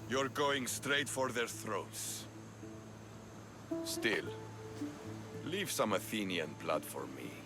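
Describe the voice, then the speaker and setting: A blunt, proud Spartan commander. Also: male voice, fairly low pitch, big pitch swings, takes it slowly, pauses often, music in the background. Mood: commanding. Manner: blunt and commanding, with fierce swings. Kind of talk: video game cutscene acting. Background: orchestral score.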